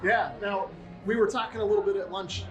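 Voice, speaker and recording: High-voiced man, casual vlogger. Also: steady tone, fairly quick, dull audio, over music. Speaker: video host and radio operator. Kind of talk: casual vlog narration and radio chat. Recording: clear car and outdoor background noise.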